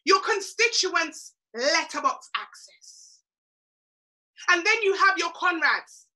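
High, bright-voiced Jamaican woman mentor. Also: animated, takes it slowly, low-quality recording. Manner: emphatic, preachy, repeats lines for effect. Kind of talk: motivational webinar talk. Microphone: webcam on a video call.

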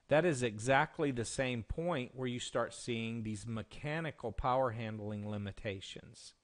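A man narrates an instructional screencast in a medium-pitched voice. He explains things step by step, like a presenter walking a learner through software. The sound is dry, with very little room. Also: no room sound.

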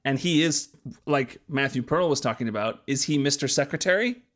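Guy hosting a podcast, medium pitch, just chatting casually with his co-host. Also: animated, fairly quick, a bit bright.

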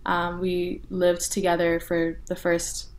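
A young woman from North Texas, speaking as an interview guest in a relaxed way with lots of "you know" and "like" fillers. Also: on the low side, slow, rough recording. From North Texas, USA. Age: young adult. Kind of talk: casual interview talk.

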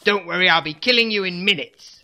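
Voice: medium pitch, brisk, bright, forceful tone. An actor voicing a hapless, theatrically boastful wannabe supervillain in a comedy audio drama, grandiose one moment and meekly awkward the next. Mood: sheepish, awkward. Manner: grandiose threats collapsing into meek mumbling.

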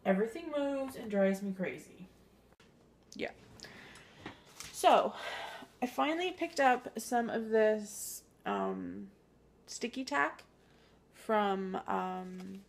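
A woman's voice: medium pitch, expressive, slow, long pauses, a little hiss or hum. A woman in her thirties chatting casually in a home kitchen, recorded on a handheld phone, full of ums and small laughs. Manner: chatty, upbeat, filler-heavy. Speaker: vlogger. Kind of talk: casual clean-with-me vlog. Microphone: handheld phone camera.

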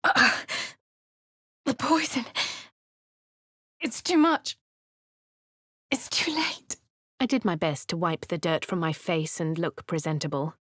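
Brief: posh English adventurer heroine, dry and crisp, a touch low, in a dry studio booth. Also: female voice, slow, long pauses, a bit bright, no echo, exaggerated voice. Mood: wry. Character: posh, plucky female adventurer hero. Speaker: player character. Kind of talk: video game voice acting.

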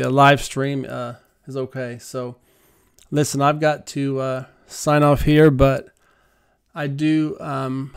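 A middle-aged man hosting a prayer livestream from his living room, speaking softly and earnestly into a studio mic, halting with many fillers. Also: medium pitch, vocal fry, smooth. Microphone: large studio mic on arm.

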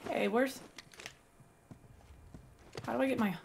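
A young woman with a raspy voice, excitedly commenting while playing a video game. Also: fairly high pitch, slow, a little hiss or hum. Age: young adult. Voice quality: raspy from yelling. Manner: excitable, exclaiming at finds. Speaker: gaming YouTuber. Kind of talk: let's play commentary.

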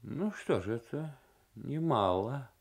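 A man voicing a thoughtful, kindly diplomat musing in a measured, reflective way for a radio novel reading. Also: mid-range voice, expressive, vocal fry, husky, exaggerated voice.

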